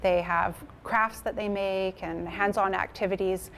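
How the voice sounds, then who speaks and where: A woman's voice: mid-range voice, fairly even intonation, a little slow, vocal fry, a bit bright, slight background noise. A woman, speaking as a museum curator explaining in an interview. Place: indoors at a museum.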